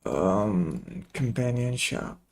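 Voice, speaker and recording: A man's voice: lowish voice, expressive, drawn-out words. A man giving casual gameplay commentary, muttering short remarks to himself as he plays. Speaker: game streamer.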